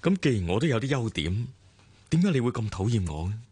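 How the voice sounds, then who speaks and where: A man's voice: mid-range voice, sing-song, fairly quick, a little mellow, husky, no echo. A man with a Hong Kong accent acting a devoted, patient businessman husband in a radio drama, earnest and placating. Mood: hurt, earnest.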